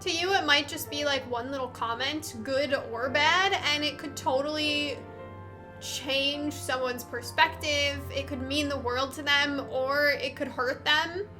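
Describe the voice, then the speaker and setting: A woman's voice: high voice, fairly even intonation, a little slow, music in the background. A young woman hosting a let's play, giving casual, sentimental, gushing commentary as she goes.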